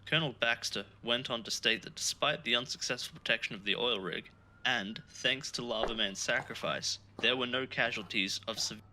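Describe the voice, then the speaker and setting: An actor playing a formal male news anchor, reading a scripted bulletin in an audio drama with measured phrasing. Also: mid-range voice, pushed and bright, slight background noise, poor audio. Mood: formal, grave.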